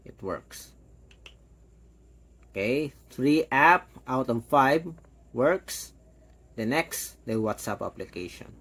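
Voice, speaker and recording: A man giving a casual, hands-on product demo, talking step by step in short, halting phrases. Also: fairly low pitch, a bit bright. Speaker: product reviewer.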